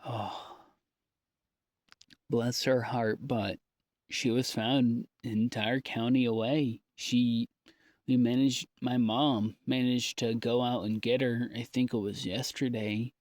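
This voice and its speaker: A man recording a rambling, confessional audio diary in a halting, downbeat, informal way with long pauses. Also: mid-range voice, level tone, darkish tone, no echo, studio quality. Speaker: audio diarist.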